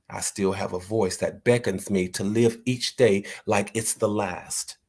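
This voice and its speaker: A man talking earnestly to his viewers about writing and then reading one of his own poems aloud in a slow, measured way. He encourages his listeners and often repeats words while he searches for the right ones. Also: lowish voice, breathy edge. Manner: slow, measured recital when reading the poem. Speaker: poet and channel host.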